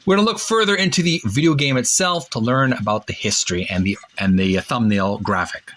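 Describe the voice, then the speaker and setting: A man hosting a retro gaming channel, talking casually and reading aloud in a halting, deliberate, stumbling way. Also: mid-range voice, fairly bright tone. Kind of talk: casual read-aloud commentary.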